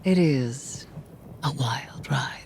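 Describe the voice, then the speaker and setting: Low, haunted woman mystic. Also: a little mellow, a little hiss or hum, heavily acted. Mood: grave, intense. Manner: solemn, cryptic, deliberate. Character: brooding, haunted mystic woman bent on vengeance. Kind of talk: video game dialogue acting.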